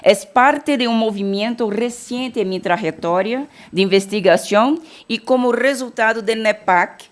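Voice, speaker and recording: A Brazilian woman from Bahia giving an academic keynote lecture, blending Portuguese and Spanish with frequent self-corrections and restarts. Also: mid-range voice. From Salvador, Bahia, Brazil.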